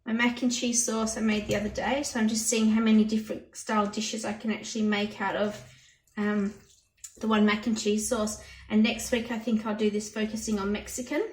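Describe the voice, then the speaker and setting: A hoarse woman chatting through a cooking demonstration in her home kitchen, explaining each step plainly. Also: mid-range voice, flat delivery, fairly quick. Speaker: home cooking channel host. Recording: roomy kitchen audio from a distance.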